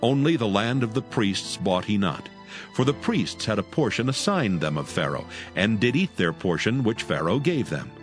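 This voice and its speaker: Deep-voiced solemn scripture narrator. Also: male voice, big pitch swings, moderate pace, soft background music.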